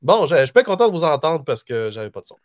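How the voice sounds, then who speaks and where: A man's voice: a little high, big pitch swings, fast, dry recording, clean studio sound. A man with a Quebec French accent chatting casually as a panelist, with informal, hesitant phrasing. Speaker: panelist and collector. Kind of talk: casual chat.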